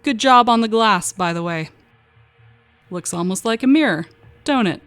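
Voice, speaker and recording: A woman's voice: mid-range voice, expressive, moderate pace, a bit bright, soft background music, close to an everyday voice. Woman playing a drawling, sly male stranger. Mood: sly, teasing. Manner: drawling, folksy. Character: smooth-talking, foxy-smiled male stranger, unsettling and teasing. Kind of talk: audio drama character dialogue.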